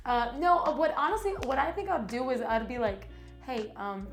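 Lively high-voiced woman chatting. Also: faint music behind. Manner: animated, talks fast, acts out quotes. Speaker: guest actress. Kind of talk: casual podcast chat. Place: Miami.

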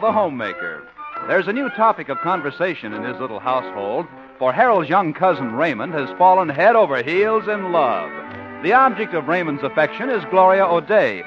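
Lively male old-time radio announcer. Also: fairly high pitch. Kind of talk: 1950s radio announcing, scripted narration. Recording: muffled 1950 radio recording with music.

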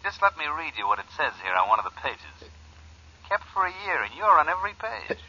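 An actor playing a sly, menacing blackmailer on a phone call, in 1940s radio style on a muffled old recording. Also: male voice, fairly high pitch, very animated, fairly quick, pushed and bright, slightly rough, full character voice. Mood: taunting, menacing. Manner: sneering, insinuating.

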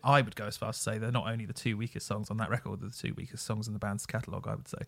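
A man's voice: lowish voice, level tone, rapid-fire, creaky. A man co-hosting a music podcast, chatting casually with lots of ums and dry jokes. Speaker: podcast co-host. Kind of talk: casual chat about music.

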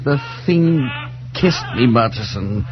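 Haunted old professor, swinging wildly. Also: male voice, medium pitch, lively intonation, music in the background. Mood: frantic, disgusted. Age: older. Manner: halting, breathless, broken phrases. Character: haunted, terrified older male professor losing his grip. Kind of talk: 1940s radio drama acting. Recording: muffled, noisy 1940s radio recording with hum.

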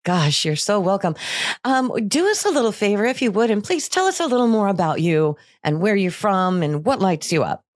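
A woman's voice: mid-range voice, very animated, normal speed. A woman hosting a coaching podcast, giving introductions and putting questions to a guest. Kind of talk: scripted podcast introduction, then casual interview.

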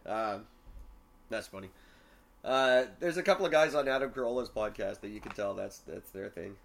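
A man's voice: fairly low pitch, moderate pace, creaky. A young man chatting casually on a podcast, brash and self-mocking, swearing freely. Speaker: podcast co-host.

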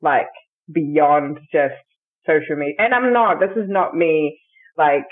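A woman's voice: low voice, a little slow. A woman chatting casually with a friend in a playful, giggly, informal way. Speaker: podcast guest. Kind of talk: casual chat between friends. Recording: tinny, phone-like call audio.

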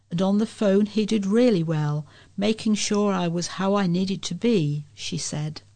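A woman reads local news from a script as a volunteer. Her medium-pitched voice has a noticeable creak. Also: a little mellow. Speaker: volunteer newsreader.

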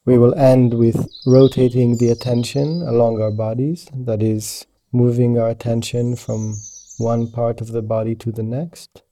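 A man leading a guided meditation as a teacher, speaking in a gentle, soothing, unhurried manner. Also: mid-range voice, flat delivery. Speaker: meditation teacher guiding yoga nidra.

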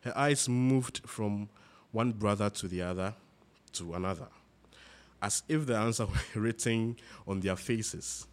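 A man's voice: fairly low pitch, measured pace. A man reading literary fiction aloud, narration mixed with characters' dialogue. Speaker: reader.

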